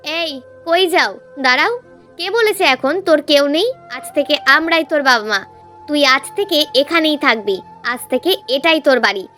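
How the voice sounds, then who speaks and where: A woman's voice: high voice, expressive, measured pace, fairly bright tone, soft background music. A woman voicing a caring, firm parental figure in emotional story dialogue, speaking reassuringly. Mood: caring, insistent.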